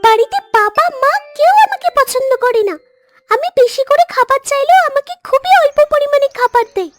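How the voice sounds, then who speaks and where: A woman's voice: very high voice, lively intonation, fairly quick, music in the background. A woman voicing a greedy, sulky young cartoon duckling who whines and complains full of self-pity. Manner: whiny, complaining.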